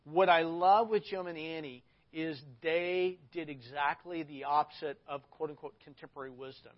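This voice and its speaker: Pastor guy hosting, higher voice, rambly, muffled recording. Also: steady tone, normal speed. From Arkansas. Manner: rambling, with restarts and fillers. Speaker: pastor and host. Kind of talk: casual interview chat. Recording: dull lo-fi audio.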